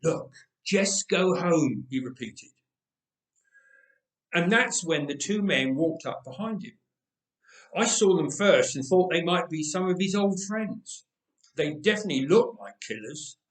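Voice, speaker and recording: A man reading a first-person story aloud in an expressive narrating style. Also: fairly high pitch, a little slow, long pauses, recorded from a distance. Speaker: story reader. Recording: roomy, echoing space.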